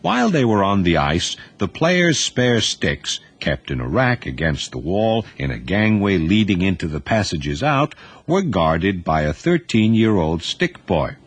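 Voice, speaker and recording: Low husky male storyteller. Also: very animated, unhurried. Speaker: narrator. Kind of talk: scripted audiobook narration.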